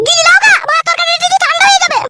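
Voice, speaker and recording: A performer voicing a sharp-tongued, scolding young village wife in a comedy cartoon, with a rural West Bengal accent. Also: very high voice, steady tone, racing, full character voice. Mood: nagging. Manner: scolding, foul-mouthed.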